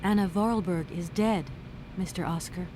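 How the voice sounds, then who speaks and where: A woman's voice: fairly low pitch, moderate pace, background noise, lightly acted. An actress voicing a polite, inquisitive adventure game heroine, courteous and questioning in her video game dialogue. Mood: courteous, matter-of-fact.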